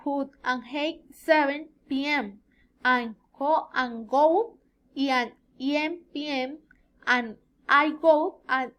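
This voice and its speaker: A woman in her twenties from Colombia with a Colombian Spanish accent, a native Spanish speaker haltingly giving a rehearsed self-introduction as a student, often searching for words. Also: sits high, fairly bright tone. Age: 20s. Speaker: student giving an oral presentation.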